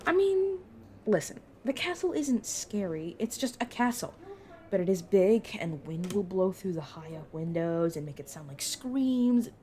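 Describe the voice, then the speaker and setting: A woman playing a brisk, protective vampire mentor in an audio roleplay, talking casually and teasingly to a new fledgling. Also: mid-range voice, sing-song, mellow, slight background noise. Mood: reassuring, wry. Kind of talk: narrative audio roleplay, addressed to the listener.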